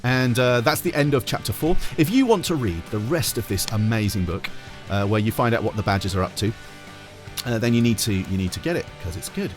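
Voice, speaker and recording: A man reading a children's storybook aloud as a teacher, in an expressive narrating style. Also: on the low side, brisk, soft background music.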